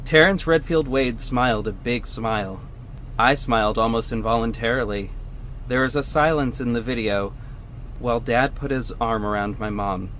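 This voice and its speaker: A man's voice: mid-range voice, moderate pace, fairly bright tone, slight background noise, lightly acted. A man playing a vigilante hero, narrating his own story in scripted first person for an audio drama. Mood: moved, subdued.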